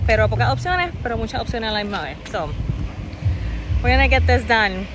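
A woman's voice: a little high, moderate pace, noisy background. Chatty Puerto Rican woman vlogger. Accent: Puerto Rican Spanish. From Puerto Rico. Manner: chatty, expressive, switches into English mid-sentence. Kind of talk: casual vlog, Spanish mixed with English. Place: indoors at home and in a car. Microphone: camera at arm's length and dashboard camera. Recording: music bed under the voice.

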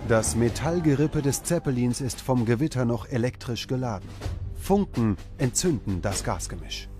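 A man reading scripted documentary narration in a measured, dramatic way. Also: medium pitch, lively intonation. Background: music bed.

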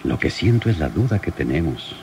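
Radio drama lieutenant, male, bass register, weighty and commanding, noisy old recording. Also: sing-song, darkish tone, exaggerated voice. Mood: worried. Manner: deliberate, questioning, commanding. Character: shrewd, worried police lieutenant in charge. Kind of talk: old radio serial acting.

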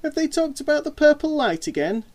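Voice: high-pitched, very animated, a little fast, darkish tone, voice pushed up. A narrator putting on a sarcastic, teasing older sister. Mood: casual. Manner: needling, sarcastic.

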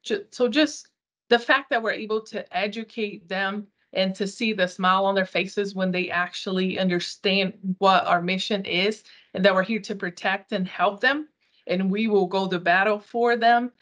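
A woman's voice: medium pitch, steady tone, normal speed, a bit bright. A woman in her thirties whose first language is Portuguese, talking earnestly about her outreach work in a video-call interview. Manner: earnest, upbeat, some restarts. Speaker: community outreach coordinator. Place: small room.

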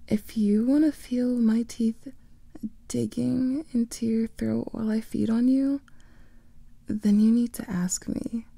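A young woman voicing a teasing female vampire lover in an ASMR roleplay, whispering softly close to the microphone. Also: on the high side, no room sound, heavily acted. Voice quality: breathy whisper with vocal fry.

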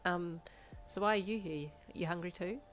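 A woman voicing a blunt, hungry young character who talks in slang and flat deadpan, quick to snap, in a storybook reading. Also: on the low side, faint music behind, close to an everyday voice. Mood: commanding. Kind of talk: audiobook character voice.

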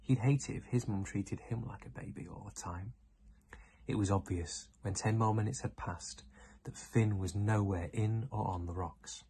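A man's voice: fairly low pitch, normal speed, mellow, slightly rough. A young man reading a children's story aloud. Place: indoor room.

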